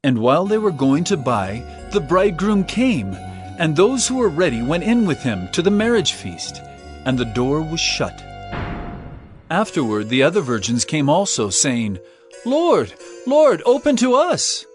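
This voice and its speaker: A man's voice: fairly high pitch, sing-song, unhurried, faint music behind. An actor playing a solemn male prophet-teacher, delivering warnings and parables in earnest, emphatic dramatized scripture narration. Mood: storytelling, emphatic.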